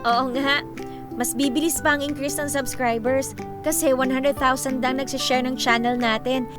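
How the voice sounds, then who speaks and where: A woman acting a spirited, feisty young female student in a Filipino radio drama, earnest and expressive. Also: sits high, moderate pace, music in the background, natural voice. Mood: excited.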